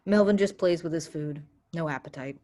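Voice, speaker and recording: A woman's voice: sits low, moderate pace, warm tone. A woman reading stage directions as scripted narration for a play.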